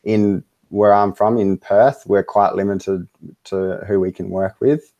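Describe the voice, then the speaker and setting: An Australian man talking casually as an interview guest over a video call, relaxed and full of fillers. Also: fairly low pitch, measured pace, muffled recording. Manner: relaxed, easygoing, lots of fillers and laughs. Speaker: interview guest, web design business owner.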